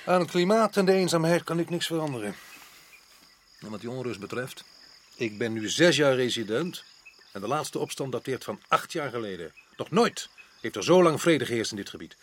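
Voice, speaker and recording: An actor playing a stubborn, self-assured colonial official in a radio play, with a dismissive, opinionated manner. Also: male voice, mid-range voice, expressive, moderate pace, lightly acted. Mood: firm, self-assured. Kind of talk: radio drama acting in Dutch. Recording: noisy old radio play recording.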